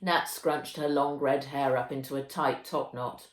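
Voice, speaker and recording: Woman reading a kids book, low voice, slow and measured, bit of an echoey room. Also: steady tone. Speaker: author reading own novel aloud. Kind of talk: children's audiobook narration. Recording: roomy echo.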